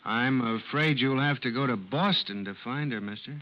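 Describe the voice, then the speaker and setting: An actor playing a plain-spoken, anxious husband and brother, folksy and blunt, in 1940s radio style. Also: male voice, medium pitch, moderate pace, lightly acted. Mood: worried, downcast. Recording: muffled, noisy old-time radio recording.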